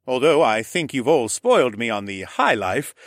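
Man playing a roguish falcon man, breezy and joking, lively pitch, storybook. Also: normal speed, no room sound, clean studio sound.

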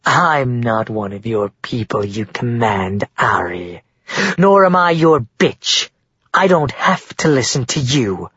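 A woman's voice: extremely low pitch, expressive, normal speed, fairly bright tone, husky, dry recording, dull audio, heavily acted. A man voicing a cruel, haughty woman who talks with sarcastic contempt, in an audiobook reading. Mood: cold contempt. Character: cruel haughty tiger-shifter mother, shrill and sneering.

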